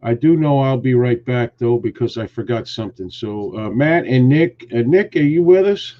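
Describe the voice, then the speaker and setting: A man's voice: mid-range voice, animated, moderate pace, muffled recording. A man hosting a casual live stream, greeting viewers and teasing his co-hosts in a joking, rambling way. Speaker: host.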